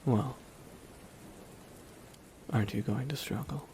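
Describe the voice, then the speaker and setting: A man playing a wry, reflective supervillain in an ASMR audio drama, speaking slowly and softly with wistful pauses. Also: mid-range voice, a little hiss or hum, natural voice. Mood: quietly taunting. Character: reflective male supervillain, wry, quietly softened toward his nemesis. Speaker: voice actor in audio roleplay. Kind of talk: ASMR dramatic roleplay monologue. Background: rain.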